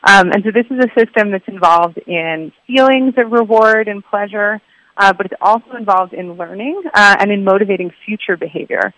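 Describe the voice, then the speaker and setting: A woman speaks as a researcher in an interview, explaining findings with careful, measured wording. Her voice is bright and forward, and the recording is dry with no room sound. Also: medium pitch, no room sound, low-quality recording.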